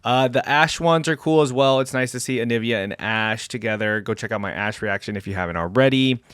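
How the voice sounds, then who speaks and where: A man's voice: medium pitch, brisk, a bit bright, clear, resonant tone, no echo. Casual man reacting, dry close sound. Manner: informal, thinking aloud. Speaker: reaction video host.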